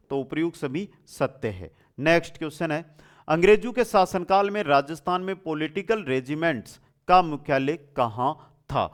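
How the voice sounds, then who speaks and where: High-voiced man teaching. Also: clean tone. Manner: repeats questions and answers, addresses students familiarly. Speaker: online exam coaching teacher. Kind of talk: quiz-style lesson, asking questions and giving answers.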